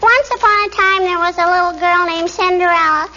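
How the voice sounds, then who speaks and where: Squeaky, very high-voiced little girl. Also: a little fast, sharp tone. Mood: eager, playful. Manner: childish, repeats questions and tags. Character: cheeky, inquisitive little girl. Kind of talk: 1940s radio comedy acting. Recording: muffled noisy 1940s radio recording, studio audience.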